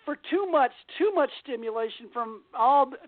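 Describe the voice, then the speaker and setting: A woman's voice: high voice, expressive, normal speed, no echo. A woman hosting a call-in radio show in a casual, chatty style over a phone line. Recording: narrow tinny phone-line sound.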